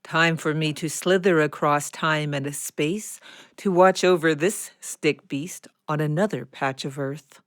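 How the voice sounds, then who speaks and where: A woman's voice: deep voice, measured pace. A woman voicing a watchful guardian snake in an audio play. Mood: mysterious, purposeful. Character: watchful snake, animal guardian slithering across time and space. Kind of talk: audio drama monologue.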